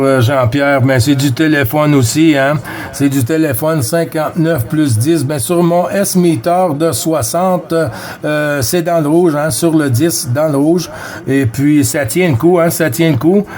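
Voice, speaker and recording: Higher-voiced Quebec French radio hobbyist man. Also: darkish tone. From Quebec, Canada. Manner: friendly, repetitive, halting phrasing. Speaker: amateur CB radio operator. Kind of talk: casual radio chat with callsigns.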